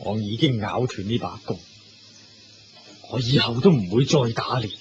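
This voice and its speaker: Man acting as a low-voiced, solemn, compassionate king. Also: normal speed, lightly acted. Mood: commanding. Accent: Hong Kong Cantonese. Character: remorseful, compassionate king out hunting deer. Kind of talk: Cantonese Buddhist radio drama acting. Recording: dull lo-fi recording with faint noise.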